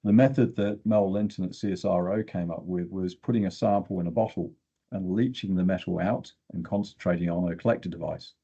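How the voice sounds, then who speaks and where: A man's voice: low-pitched, steady tone, a little fast, creaky, mellow, slightly rough, highs cut off. An older man speaking as a guest expert, explaining technical material in a plain-spoken way with short emphatic phrases. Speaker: guest expert in exploration geochemistry.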